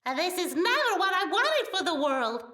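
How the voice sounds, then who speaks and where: A woman's voice: very high voice, sing-song, moderate pace, sharp tone, exaggerated voice. A woman playing an indignant, moralising creature made to entertain, speaking emphatically in an audio play. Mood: defiant, indignant. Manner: emphatic, scolding. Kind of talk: fantastical audio play acting. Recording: roomy, distant microphone.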